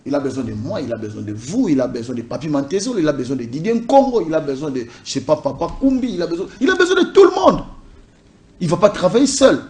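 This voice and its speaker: A man from DR Congo's Congo Central making his case in a political interview. He speaks emphatically, hammering points home with repeated lists, in a fairly high voice. As the party's communication officer he argues with insistence. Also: big pitch swings, quick pace, a little mellow. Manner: emphatic, repetitive listing. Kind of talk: political interview, code-switching.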